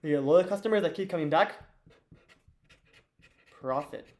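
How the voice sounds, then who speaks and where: A teenage boy with a hoarse, fading voice, teaching an investing lesson at a whiteboard in a loose, chatty way with lots of fillers and restarts. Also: fairly high pitch, moderate pace. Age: teens. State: hoarse, losing his voice. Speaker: student teacher of an investing course.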